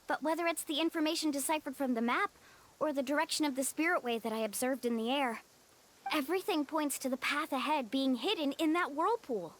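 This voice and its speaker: A woman as a high-voiced, confident young guide. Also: fairly quick, close to an everyday voice. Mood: resolute. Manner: firm, assured. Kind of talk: video game character acting.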